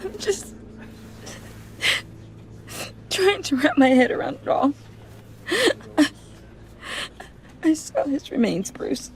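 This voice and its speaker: Grieving accusing daughter in a game, slow and very expressive, high-ish voice, faint noise behind. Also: female voice, exaggerated voice. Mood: tearful, confused. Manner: halting, emotional, intense. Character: grieving young woman, determined, accusing daughter. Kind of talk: video game voice acting.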